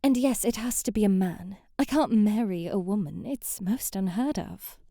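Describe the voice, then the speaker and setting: A woman's voice: medium pitch, moderate pace, mellow, no room sound, studio quality, close to an everyday voice. A woman playing a haughty, sheltered young princess in a scripted ASMR roleplay, prim and bratty, with formal, old-fashioned wording and an easily flustered temper. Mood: prim, defensive.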